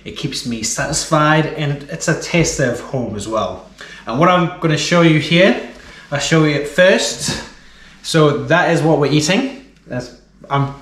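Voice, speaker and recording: A man's voice: on the high side, moderate pace, fairly bright tone. Upbeat English man in an echoey kitchen. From England. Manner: chatty and upbeat, explains as he goes. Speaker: running vlogger. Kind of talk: casual food vlog talk to camera. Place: small home kitchen indoors. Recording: echoey kitchen room sound.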